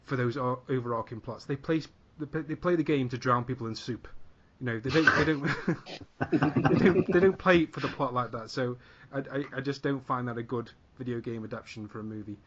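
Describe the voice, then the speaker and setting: A man's voice: medium pitch, brisk, fairly bright tone, muffled recording. A man on a podcast panel giving a casual, sweary, exasperated rant, often repeating himself.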